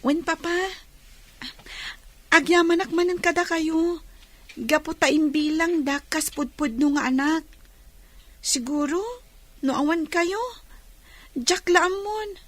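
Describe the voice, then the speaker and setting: A woman's voice: high voice, slow, pauses often, dry recording. A woman playing a grateful, earnest young schoolgirl in a radio drama.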